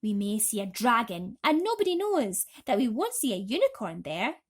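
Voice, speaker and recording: A woman's voice: fairly high pitch, big pitch swings, moderate pace. A woman voicing an exuberant, mischievous male centipede, reciting in singsong storybook style. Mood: singsong, playful. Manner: exuberant, singsong rhyming delivery. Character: excitable, boastful male centipede, grinning and mischievous.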